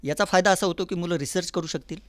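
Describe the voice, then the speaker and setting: A man's voice: high voice, fast, fairly bright tone, no echo. A man with a Maharashtrian accent explaining things earnestly as a guest in an interview. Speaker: interview guest, folk artist and academic department head. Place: recording studio.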